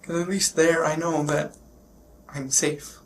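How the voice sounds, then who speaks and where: Male police interviewee, slightly high monotone voice, shaken and halting, recovering from sickness. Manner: shaken, halting, repeats himself. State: getting over a sickness, shaken up. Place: police questioning, recorded on bodycam. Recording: noisy police bodycam audio.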